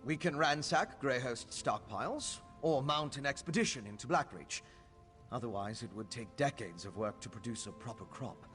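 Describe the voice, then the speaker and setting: A man's voice: mid-range voice, animated, moderate pace, fairly bright tone, soft background music, lightly acted. An actor playing an earnest, scholarly male alchemist mage in a video game, explaining things in a learned, formal way. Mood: cautious, earnest.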